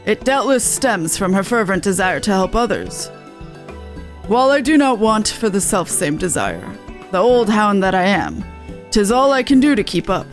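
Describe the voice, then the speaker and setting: A woman's voice: mid-range voice, very animated, moderate pace, faint music behind, natural voice. A woman voicing a dignified older nobleman in a video game, earnest and formal with archaic phrasing. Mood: courteous, approving. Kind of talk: amateur game dialogue read-aloud.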